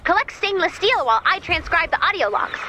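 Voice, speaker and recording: A woman's voice: very high-pitched, lively intonation, brisk, background noise. A woman playing an enthusiastic, quippy, teasing female engineer who guides missions in a video game. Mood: eager, focused. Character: peppy, geeky female engineer guiding a mission. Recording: game music behind the voice.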